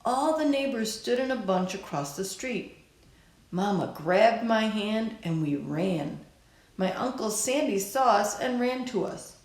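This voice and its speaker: A woman reading a children's picture book aloud in a deliberate, expressive storytelling style. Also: medium pitch, roomy sound, low-quality recording. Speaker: storybook reader.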